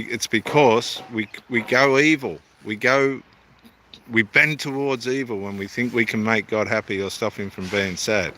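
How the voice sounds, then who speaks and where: Bright-voiced Australian man, rambling casually. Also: medium pitch, a little hiss or hum. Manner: meandering, self-deprecating, homely. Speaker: evangelist theologian vlogger. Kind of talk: casual rambling talk to camera.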